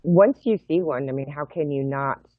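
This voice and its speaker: A middle-aged woman, a guest on a video call from home, explaining her project in a measured, thoughtful way with frequent pauses. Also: sits low, no echo. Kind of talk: explaining in an interview. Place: home room. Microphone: laptop webcam mic on video call. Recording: tinny phone-like video call audio, dry.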